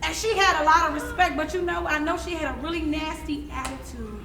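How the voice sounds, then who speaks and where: A woman's voice: high-pitched, brisk. A woman playing a sassy, reluctant schoolgirl, with casual slangy delivery in a stage play. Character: sassy, reluctant teenage schoolgirl giving a class presentation. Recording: echoey stage play, faint noise.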